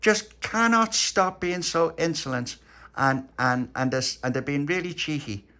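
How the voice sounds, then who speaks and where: A man's voice: a little high, measured pace, sharp tone, pitched above the performer's own voice. A man playing a bossy little-girl queen, pompous. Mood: imperious. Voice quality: pompous, imperious.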